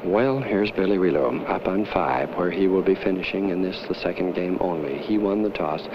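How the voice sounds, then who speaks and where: A man with an American accent commentating and hosting a televised bowling match in 1950s style, with folksy, excitable turns of phrase. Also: low-pitched, moderate pace. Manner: excitable, folksy exclamations on good shots. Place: bowling alley. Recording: dull, noisy 1950s television soundtrack.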